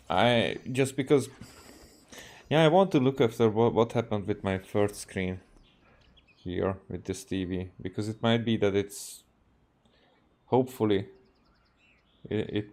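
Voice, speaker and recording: A man with a Hungarian accent giving casual gameplay commentary, halting and repeating himself. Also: lowish voice, darkish tone. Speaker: game streamer.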